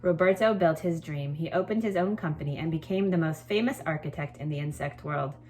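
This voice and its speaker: A woman with a low-pitched voice speaks as a teacher to her pupils. Her delivery suits reading a picture book aloud and explaining schoolwork to children. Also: fairly quick, darkish tone. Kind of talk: expressive storybook read-aloud, then informal assignment explanation.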